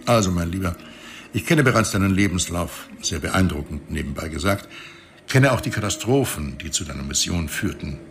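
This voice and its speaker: An actor playing a calm, condescending space station reanimator in a radio play, measured and wryly superior. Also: male voice, deep voice, gravelly, slight background noise, full character voice. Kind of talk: science fiction radio play dialogue.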